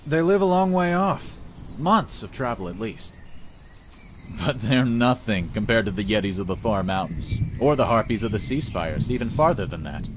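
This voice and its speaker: A man's voice: on the low side, background noise, lightly acted. A man playing a dry, matter-of-fact, well-travelled village inventor in a visual novel. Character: dry, worldly village man, self-taught showman inventor.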